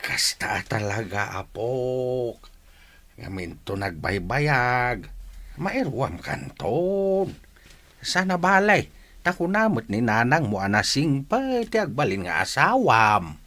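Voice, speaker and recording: A man with an Ilocano accent acting a gentle, consoling old grandfather in a drama. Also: mid-range voice, big pitch swings, takes it slowly, heavily acted. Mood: gentle, advising.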